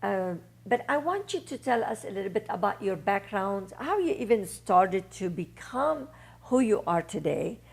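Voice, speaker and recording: Woman, talk show host, gravelly voice, mid pitch, encouraging, frequent fillers. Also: animated, normal speed. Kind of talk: interview host.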